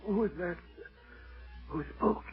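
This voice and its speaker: An actor playing a tormented, proud governor held captive, with a husky, strained voice in 1940s radio style. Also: male voice, sits high, takes it slowly, soft background music, exaggerated voice. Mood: wary. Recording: thin, phone-like old radio recording.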